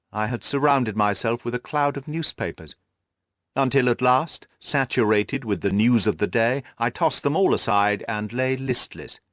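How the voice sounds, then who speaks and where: A man reading literary first-person narration for an audiobook in a measured, formal storytelling manner. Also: medium pitch, fairly bright tone, no echo, studio quality.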